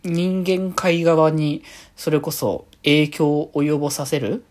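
A man hosting a solo podcast, chatting casually through his thoughts on an anime episode in a polite but conversational way, often trailing off into soft sentence-end particles. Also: on the high side, steady tone, slow.

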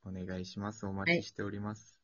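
A man's voice: fairly low pitch, little pitch movement, racing, crowd noise. A man hosting an improvised drama show, chatting casually and informally after a performance. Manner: colloquial, informal phrasing. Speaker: host of an improvised radio drama series. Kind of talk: casual post-show chat. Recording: dull lo-fi audio.

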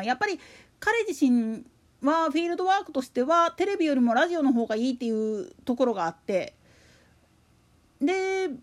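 A woman hosts a solo show in a high-pitched voice. Her intonation swings widely as she talks. The delivery is a casual monologue, full of colloquial, slangy turns. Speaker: solo podcast host. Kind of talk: casual monologue about a radio announcer.

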